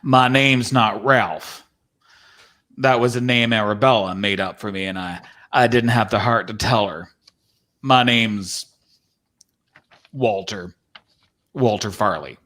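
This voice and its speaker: A man's voice: fairly low pitch, takes it slowly, pauses often, fairly bright tone. Man playing a folksy, wistful old-time cowboy. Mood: regretful, tender. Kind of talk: dramatic script reading.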